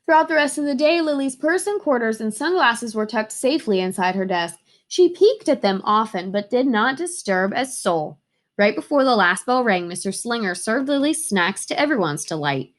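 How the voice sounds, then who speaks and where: A woman reading a children's storybook aloud in an animated, expressive way, acting out the dialogue. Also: on the high side, moderate pace. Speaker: teacher reading a picture book aloud.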